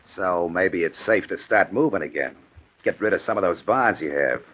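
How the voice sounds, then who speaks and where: An actor playing an impatient gangster underling in an old-time radio crime drama. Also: male voice, deep voice, expressive, normal speed, fairly bright tone. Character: impatient male gangster underling short of cash. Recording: dull lo-fi old radio recording.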